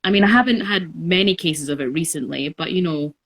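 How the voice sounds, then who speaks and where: A woman in her twenties talking in a relaxed podcast conversation, thoughtful and reflective, with many pauses and fillers. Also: deep voice. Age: 20s. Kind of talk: casual conversation.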